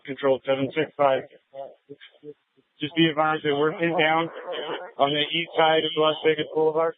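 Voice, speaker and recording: High-pitched male officer on police radio. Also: level tone, moderate pace, narrow, tinny audio. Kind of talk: urgent police radio reporting. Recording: police scanner radio transmission.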